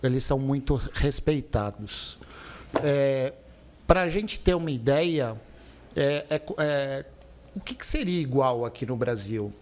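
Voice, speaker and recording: A man hosting a casual podcast who asks slow, hesitant questions full of repeated fillers. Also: mid-range voice, lively intonation, darkish tone, slight background noise. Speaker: podcast host.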